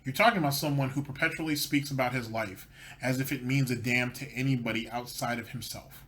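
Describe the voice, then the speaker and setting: A man rants through his commentary with a sarcastic, mocking edge. His voice sits at an ordinary pitch. A faint hiss of background noise runs beneath him. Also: fairly quick, fairly bright tone. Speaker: commentary channel host. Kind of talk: ranting commentary over clips.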